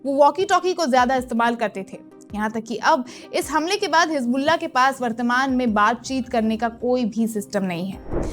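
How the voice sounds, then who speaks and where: A woman's voice: a little high, normal speed. A woman presenting a scripted news explainer in the manner of a narrated report. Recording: music bed under the voice. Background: background music.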